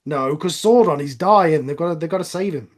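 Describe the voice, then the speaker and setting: A man's voice: fairly high pitch, a little fast. A man chatting casually in a film commentary, with a wry, nitpicking way of talking. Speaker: commentary track participant.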